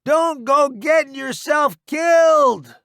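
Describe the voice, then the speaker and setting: A man playing a reckless, gung-ho young soldier under fire in a dramatised audiobook, shouting his words. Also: very high voice, slow pace, creaky, no echo, studio quality, voice pushed up, heavily acted. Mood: pained, exasperated. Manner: shouting, yelling orders. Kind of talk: dramatised audiobook character dialogue.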